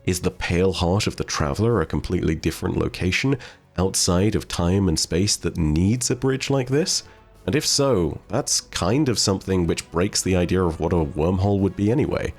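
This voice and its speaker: Very deep-voiced man over music. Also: lively intonation, moderate pace. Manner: conversational, speculative, rhetorical questioning. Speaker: host of a game lore channel. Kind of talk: explanatory video essay commentary.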